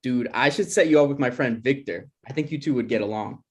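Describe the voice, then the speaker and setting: A man's voice: mid-range voice, fairly quick, husky, lightly acted. A man playing an awkward, defensive young grad student with hesitant, rambling delivery in a comedy table read. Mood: casual.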